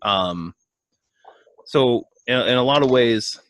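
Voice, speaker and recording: A man's voice: mid-range voice, slow pace, a bit bright, no echo, highs cut off. A man co-hosting a beer podcast, chatting casually and joking with lots of fillers. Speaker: podcast co-host. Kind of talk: casual chat.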